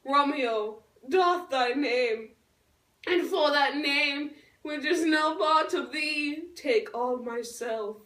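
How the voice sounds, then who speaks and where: A woman's voice: sits high, fairly bright tone. A woman voicing a yearning, dramatic teenage Juliet puppet, declaiming Shakespearean verse theatrically in an amateur school show. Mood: giddy, pleading. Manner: slow, theatrical declaiming with big swells. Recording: echoey room, far from the mic.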